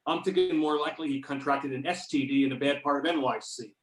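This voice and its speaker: Echoey distant recording, a man reading as confident male federal agent, higher-pitched, needling, sarcastic, stage script. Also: fast, lightly acted. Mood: wry. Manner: sarcastic, pointed questioning. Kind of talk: amateur readers-theater script reading. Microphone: videoconference or conference call. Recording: roomy echo, distant microphone.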